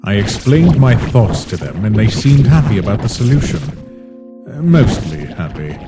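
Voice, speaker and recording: A man voicing a weary, brooding ancient being who narrates a comic dub in a solemn, measured way. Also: fairly low pitch, sing-song. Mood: pensive, guarded. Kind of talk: scripted first-person comic dub narration. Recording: voice under loud music.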